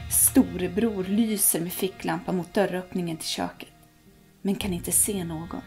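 A young woman reading a ghost story aloud to children in a cozy living room, dropping to whispers at the tense moments. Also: on the low side. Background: soft background music.

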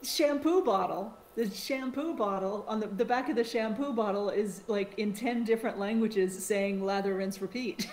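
A middle-aged American woman chats on a podcast, animated and full of restarts. Her voice has an ordinary pitch for a woman. It carries a roomy echo over a faint hiss. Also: normal speed. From United States. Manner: chatty, animated, with many restarts. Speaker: podcast co-host. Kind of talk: casual podcast chat.

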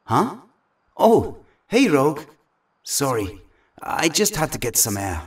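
A man's voice: a little high, big pitch swings, slow pace, recorded from a distance, exaggerated voice. A man playing an earnest, troubled young mutant hero in an audio drama. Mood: troubled, hesitant.

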